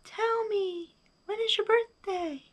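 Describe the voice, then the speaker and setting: Woman as a high, faraway storybook moon. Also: takes it slowly. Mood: distant echo. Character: distant echoing moon, gently mimicking a bear. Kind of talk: picture-book character voice.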